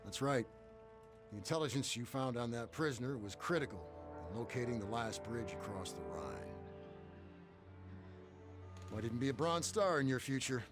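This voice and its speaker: A man's voice: mid-range voice, moderate pace, long pauses, over music, natural voice. A man playing a blunt, fatherly officer. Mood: reassuring. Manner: calm, matter-of-fact briefing tone. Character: seasoned male army officer, fatherly and blunt. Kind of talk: video game cutscene acting.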